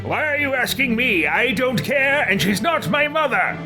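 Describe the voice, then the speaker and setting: A man's voice: extremely high pitch, very animated, normal speed, over music, pitched above the performer's own voice, full character voice. Man putting on a swooping, sardonic callous adventurer. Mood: irritated. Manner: sardonic, dismissive. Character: callous, sardonic adventurer son, bloodthirsty and dismissive.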